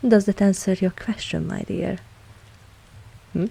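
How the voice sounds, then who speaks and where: A woman playing a dominant, seductive vampire mistress in an intimate ASMR roleplay, speaking softly and with measured, coolly protective authority. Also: medium pitch, fairly even intonation, vocal fry, breathy edge, heavily acted. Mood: cool, teasing.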